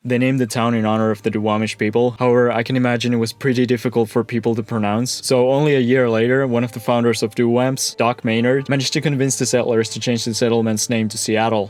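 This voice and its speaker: A man's voice: medium pitch, little pitch movement, quick pace, hardly any pauses. A man giving scripted documentary narration for a history video, with casual asides and dry jokes.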